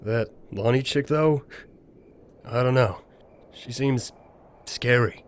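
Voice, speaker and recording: A man's voice: mid-range voice, slow, lightly acted. A man playing a socially awkward, guarded young man in an amateur audio drama, blunt and offhand.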